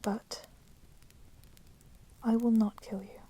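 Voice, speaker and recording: A woman playing a coolly menacing, possessive female vampire in a whispered ASMR roleplay, with soft, breathy delivery and formal, deliberate phrasing. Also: on the high side. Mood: cold, scornful. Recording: steady background noise, crackling fire sound. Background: crackling fire.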